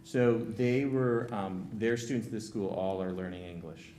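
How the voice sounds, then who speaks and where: Very deep-voiced man, halting, noisy recording. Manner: halting, searching for words. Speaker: school trip leader presenting. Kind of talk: informal slide presentation. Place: public school committee meeting. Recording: hum and loud noise behind voice.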